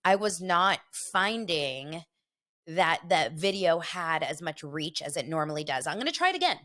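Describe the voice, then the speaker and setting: Middle-aged woman, podcast host, chatty, gossipy, congested, head cold, lower voice. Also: a bit bright. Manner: chatty and gossipy, quick asides. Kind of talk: casual chat.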